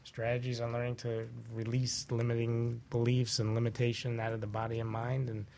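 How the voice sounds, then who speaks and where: A man speaks with a noticeably creaky voice at an ordinary pitch. He chats casually as a health practitioner on a talk show, often pausing to find his next words. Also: completely flat delivery, dark tone, slight background noise. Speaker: guest health practitioner and author.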